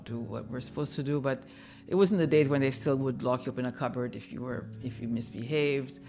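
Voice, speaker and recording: A woman in her fifties, born in New York and raised in Haiti, telling her own life story in a reflective, candid way. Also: rumbling low. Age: 50s. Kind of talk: personal storytelling.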